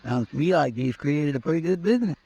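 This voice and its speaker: An actor playing a folksy frontier sidekick in a 1950s radio drama, speaking in plain-spoken country talk. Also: male voice, fairly high pitch, lively intonation, fast, warm tone. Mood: easygoing. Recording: muffled old radio recording.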